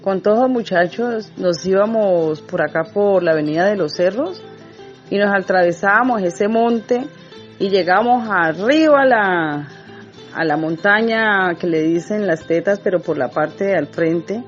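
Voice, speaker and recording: A woman fondly recalls an old memory in a rambling, casual storytelling manner. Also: medium pitch. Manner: fond, rambling recollection. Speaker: community radio participant. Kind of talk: reminiscing storytelling. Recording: dull lo-fi recording with guitar under.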